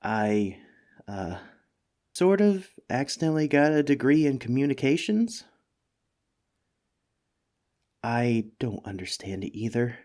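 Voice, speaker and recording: A man's voice: mid-range voice, big pitch swings, measured pace, a little mellow. A man voicing an anxious, self-deprecating mimic monster living as a talking couch, hesitant and pleading in a comedic ASMR roleplay. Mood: dejected, self-pitying. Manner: hesitant, pleading, stammering, rambling.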